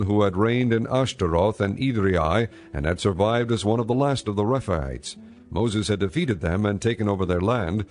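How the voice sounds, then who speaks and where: Guy narrating an audio bible, lowish voice, measured and solemn, bit of music behind. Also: darkish tone.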